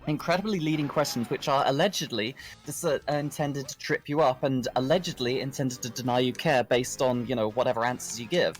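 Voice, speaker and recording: A man's voice: mid-range voice, fairly quick, few pauses. A man chatting casually in an emphatic, sweary way. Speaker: stream guest. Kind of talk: casual chat. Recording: voice chat with music behind. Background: music.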